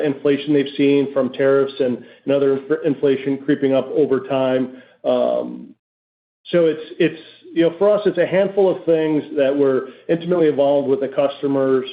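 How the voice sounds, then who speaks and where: A man answers questions on a corporate earnings call as a company executive. His delivery is measured and halting, with a lively rise and fall in pitch. The audio is thin and narrow, like a telephone line. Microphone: conference call line.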